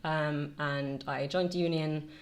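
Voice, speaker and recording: Low-pitched middle-aged woman from finland, talks slow and careful with lots of ums, bit of hiss behind. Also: fairly even intonation. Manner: measured, fillers between phrases. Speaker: marketing and e-commerce director, guest. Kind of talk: casual interview.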